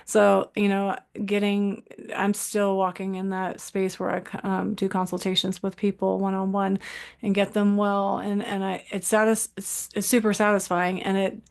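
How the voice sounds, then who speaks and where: A woman doctor talks as a panel guest. Her voice is flat in pitch with a gravelly fry, and her speech is halting, with frequent restarts mid-sentence. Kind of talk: casual conversation.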